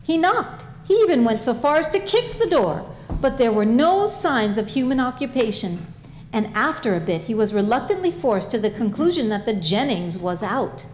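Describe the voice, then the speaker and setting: High-voiced woman narrating in an echoing room. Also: big pitch swings, moderate pace, a little hiss or hum. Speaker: story narrator. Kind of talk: comic scripted narration, staged reading. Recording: roomy, echoing live recording.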